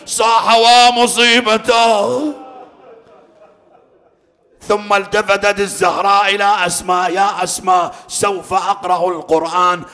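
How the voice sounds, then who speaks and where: A high, piercing man's voice, echoing with long reverb through a hall. He delivers a mournful religious lamentation as dramatic storytelling, stretching his words with tearful emphasis. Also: pushed and bright. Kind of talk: Shia lamentation sermon, dramatic storytelling with chanted passages.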